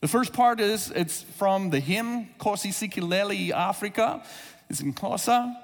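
A man's voice: high-pitched, animated, a little slow. South African man preaching in a hall. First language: Afrikaans. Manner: conversational, storytelling with asides and fillers. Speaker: pastor. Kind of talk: sermon.

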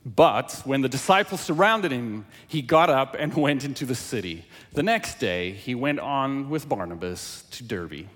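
South African pastor preaching in a hall. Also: male voice, medium pitch, unhurried, hardly any pauses. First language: Afrikaans. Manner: animated, engaging the audience with questions. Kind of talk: sermon with scripture reading.

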